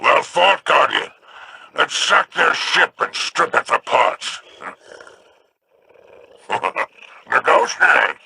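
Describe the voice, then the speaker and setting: An actor voicing a boastful, scheming pirate captain in a video game, with a gravelly voice. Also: male voice, a little high, animated, extremely slow, pauses often, pushed and bright, a little hiss or hum, exaggerated voice. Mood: gloating.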